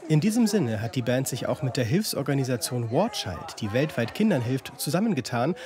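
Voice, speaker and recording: A man's voice: medium pitch, sing-song, brisk. A man narrating a scripted television report as a reporter. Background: music.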